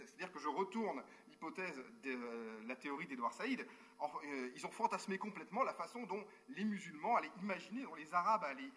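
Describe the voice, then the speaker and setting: A male academic reads a prepared talk at a brisk clip, his voice sitting a bit high and sounding bright and forceful. His phrasing is formal and written, broken by quick spoken asides and small hesitations. He comes through a remote video call link. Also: a little hiss or hum. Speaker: university researcher giving a conference talk.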